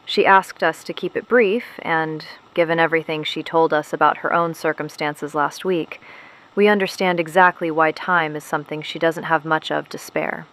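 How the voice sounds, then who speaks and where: A woman playing a solemn, protective resistance broadcast host in an audio drama, narrating in a measured, reflective manner. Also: low voice, fairly bright tone, rough recording. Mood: solemn, respectful.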